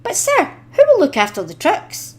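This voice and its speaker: Eager, polite old steam engine for a storybook, bright-spirited and lively in pitch, a woman reading. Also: normal speed, heavily acted. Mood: worried. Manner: cheerful, polite.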